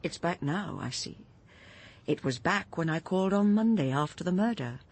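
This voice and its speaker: A woman's voice: on the low side, animated, moderate pace, breathy edge. An actress playing a troubled, earnest woman who speaks hesitantly in a British radio drama. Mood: anxious, accusing. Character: troubled, earnest woman gently confronting her friend.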